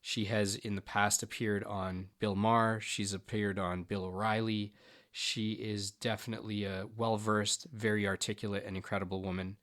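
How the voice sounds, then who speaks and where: A man's voice: on the low side, little pitch movement, moderate pace, a bit bright. A man hosting a podcast, introducing his guest and asking questions with enthusiasm and a few stumbles. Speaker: podcast host.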